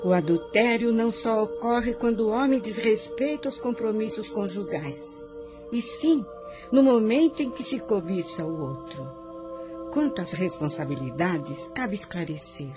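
A solemn woman played by an actress as a moral guide in a radio drama. She admonishes in a measured, preachy way at medium pitch, over music. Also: lively intonation, lightly acted. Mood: solemn, warning. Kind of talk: Brazilian radio drama acting.

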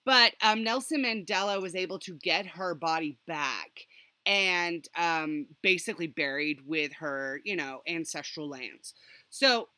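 Bright-voiced woman, animated rambling storyteller. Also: on the low side, a little slow, dry recording. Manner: animated, rambling, sardonic asides. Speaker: podcast host. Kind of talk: casual storytelling chat about history.

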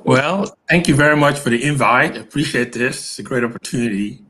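A man from the United States who grew up in England, a podcast guest giving relaxed, brief answers over a video call. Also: medium pitch, brisk, low-quality recording. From United States, raised in England. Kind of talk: casual interview answers.

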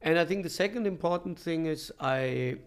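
A middle-aged man from Germany telling his story as an interview guest, with halting, thoughtful pauses. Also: fairly high pitch, clear, resonant tone. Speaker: podcast guest, professor and coach. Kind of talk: reflective conversational interview storytelling.

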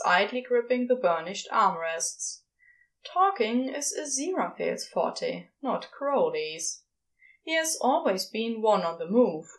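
A woman reading fiction aloud as expressive scripted narration, with dramatic pauses. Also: mid-range voice, rough recording. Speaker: podfic reader.

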